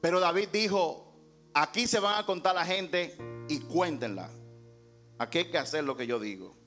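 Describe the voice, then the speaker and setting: A man with a high-pitched voice preaches as a pastor. His delivery is emphatic and rhetorical, slipping into dramatized scripture dialogue, with instrumental music faintly behind him. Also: moderate pace, pushed and bright. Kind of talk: sermon preached to a congregation.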